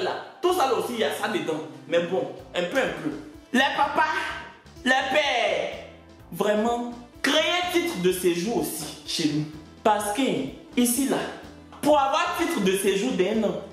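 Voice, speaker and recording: A man's voice: sits high, a bit bright. A man from West Africa, delivering a stand-up comedy monologue in an indignant, mocking way and drawing out his punchlines. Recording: very echoey room, faint music.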